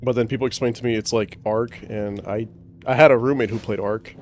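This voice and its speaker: A man with a boomy voice, casually chatting while streaming a game from home and talking to his pet. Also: fairly quick, a little hiss or hum. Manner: relaxed, rambling, talks to his pet. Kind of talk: casual livestream chat. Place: home, indoors. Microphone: desk microphone.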